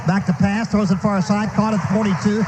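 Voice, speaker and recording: Male play-by-play announcer, high voice, clipped running phrases, live football commentary, noisy stadium radio broadcast. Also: flat delivery, fairly quick.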